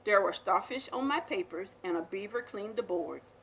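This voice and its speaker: A woman reading a children's picture book aloud as a storyteller, with expressive, dramatic delivery. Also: mid-range voice, moderate pace, a little hiss or hum.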